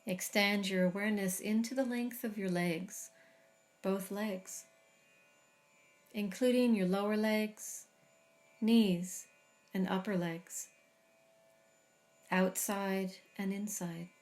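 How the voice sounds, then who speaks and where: A middle-aged woman guiding a body scan meditation, speaking slowly and soothingly with long pauses. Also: mid-range voice, steady tone. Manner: slow, soft, soothing guidance with long pauses. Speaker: meditation teacher.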